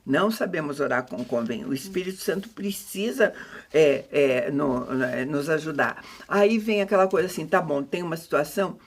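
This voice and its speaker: A woman's voice: sits low, animated, moderate pace, breathy edge. A middle-aged woman with a Brazilian accent hosting a casual conversation about faith, speaking expressively and posing rhetorical questions.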